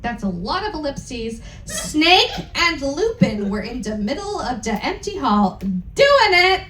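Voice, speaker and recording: A woman reads aloud on stage in a high, wildly animated voice. She plays up the dialogue theatrically and drops in wry asides. The slow, deliberate comedic reading rings around an echoey live room. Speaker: performer reading fan fiction aloud. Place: live event room aboard a cruise ship.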